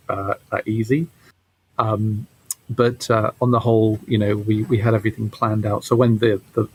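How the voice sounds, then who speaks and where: A man giving casual interview answers as a game developer, halting and often repeating his words. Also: mid-range voice, a little mellow, slightly rough, low-quality recording.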